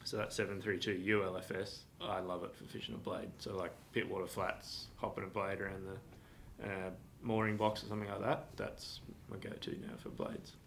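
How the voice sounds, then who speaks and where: Deep, flat-toned man explaining products indoors. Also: moderate pace, vocal fry, a little hiss or hum. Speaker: product expert being interviewed. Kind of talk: casual explanatory interview talk. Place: office room with a table, indoors.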